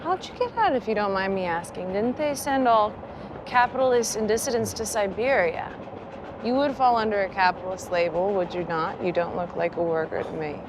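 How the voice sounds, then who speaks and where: An actress as a curious young nurse from a period film who asks earnest, direct questions. Clear train noise runs behind her. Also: mid-range voice, brisk, lightly acted. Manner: earnest, inquisitive.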